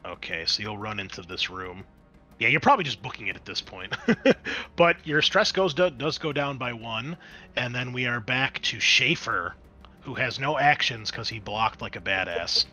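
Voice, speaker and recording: Male game master, bright animated delivery, casual swearing, improvised narration over faint music. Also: medium pitch, normal speed. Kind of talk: running a tabletop roleplaying game, improvised narration.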